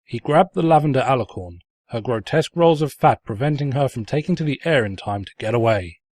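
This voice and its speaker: A man's voice: mid-range voice, animated, a little fast, darkish tone, dry recording, natural voice. Man playing a snide, pompous storybook narrator, dry recording. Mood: mocking. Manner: pompous, sarcastic, florid storytelling delivery. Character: snide, mocking male storybook narrator who insults the heroine. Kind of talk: scripted comedic fan fiction narration.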